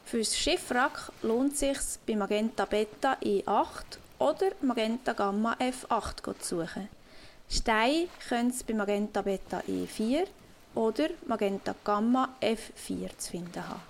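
Audio-play pirate note reader, acted by a woman: highish voice, hearty and deliberate, over noisy ambience. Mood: hearty, instructive. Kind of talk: scripted message in an improvised audio play.

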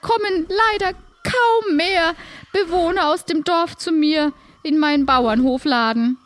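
A woman's voice: very high-pitched, a bit bright, slight background noise. A woman playing a polite, wordy farm-shop keeper in an improvised audio play, friendly but aggrieved and often hesitant.

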